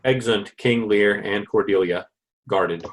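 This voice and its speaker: A man's voice: lowish voice, takes it slowly, a little hiss or hum, rough recording. A man reading stage directions as scripted narration for a play.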